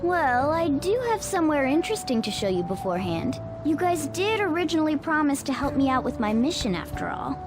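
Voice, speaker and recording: A small know-it-all cat mentor from a video game speaks in a high voice. The delivery is commanding and instructive, with clear background music underneath. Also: female voice, sing-song, fairly quick, hardly any pauses. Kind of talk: English video game dub voice acting.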